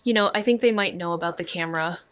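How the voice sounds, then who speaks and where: An actress playing a level-headed, analytical amateur detective in a noir audio drama, speaking in a measured, matter-of-fact way. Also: female voice, fairly low pitch, fairly bright tone, a little hiss or hum, natural voice. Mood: methodical.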